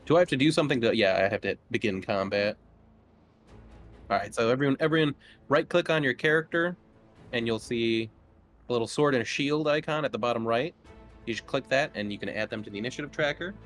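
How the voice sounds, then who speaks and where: A man acts as game master for a tabletop session, narrating scenes and calling for rolls informally. His voice sits at an average pitch, and faint music plays behind him. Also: fast, a bit bright.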